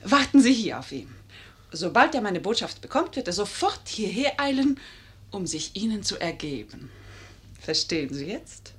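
A woman's voice: mid-range voice, very animated, moderate pace, close to an everyday voice. An actress playing a clever, coaxing young lady in a 1950s German radio play, theatrical and persuasive. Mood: coaxing, scheming.

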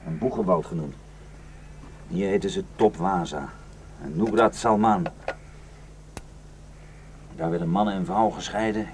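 Bitter low-voiced man on the run. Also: husky, heavily acted. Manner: grim, cursing, rambling storyteller. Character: weary, bitter, well-travelled fugitive warning of massacres. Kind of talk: radio drama acting. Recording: noisy radio play recording.

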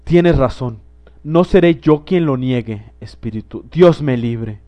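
A man's voice: mid-range voice, animated, unhurried, muffled recording, close to an everyday voice. A man voicing a sorrowful old man, subdued and tearful, in a near-natural tone. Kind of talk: audiobook character voice.

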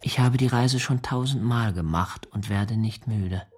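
An actor playing an anguished, yearning young letter writer in a radio play, reciting poetically and broodingly. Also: male voice, fairly low pitch, a little slow, close to an everyday voice. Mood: numb, restless. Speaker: actor in an audio drama. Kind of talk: literary monologue over music. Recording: radio play with brass music behind. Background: brass and horn music.

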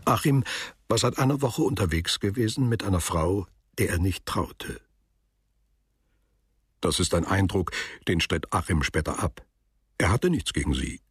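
Really husky deep guy sober radio play narrator, dry sound. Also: lively intonation, unhurried, pauses often, no room sound, heavily acted. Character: sober, detached male narrator of a literary story. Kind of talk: literary narration in a radio drama.

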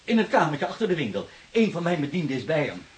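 Worried antiques dealer, fast n swoopy high voice, fretting, radio drama. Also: male voice, very animated, a little hiss or hum. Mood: agitated. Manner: fretful, hurried. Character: agitated, anxious male antique dealer. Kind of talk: Dutch radio drama acting.

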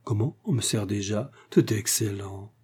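A man reading aloud, voicing a chattering guest at a ball who rambles on with breathless flattery. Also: lowish voice, normal speed, breathy edge. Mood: gushing.

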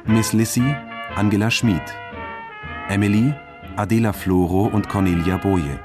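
A man's voice: on the low side, a little slow. A man reading radio drama credits formally as an announcer. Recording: studio announcement over clear brass music.

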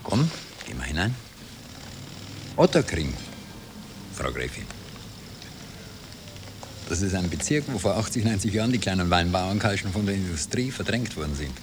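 An actor playing a weary impostor husband with a Viennese accent in a radio play from around 1960, terse and ironic. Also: male voice, low voice, sing-song, normal speed, pauses often. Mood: wry, reminiscent. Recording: noisy old radio drama cassette transfer.

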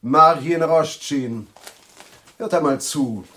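Casting: self-important scribbler, rather high voice, boisterous and declamatory, radio play. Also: male voice, slow, a bit bright. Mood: indignant. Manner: pompous, declamatory. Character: vain, boisterous male hack writer, self-important. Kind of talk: German radio drama acting.

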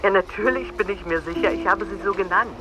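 A woman's voice: mid-range voice, fast, lightly acted, poor audio. An actress playing a grown daughter and new mother, speaking formally and matter-of-factly in a radio drama.

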